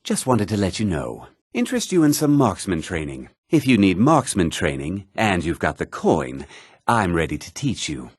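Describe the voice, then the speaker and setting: Casting: self-assured fantasy game thief, smooth talker, slightly low voice with animated swings. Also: male voice, moderate pace, no echo, studio quality, full character voice. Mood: self-assured, casual. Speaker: video game voice actor. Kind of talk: scripted fantasy game character dialogue.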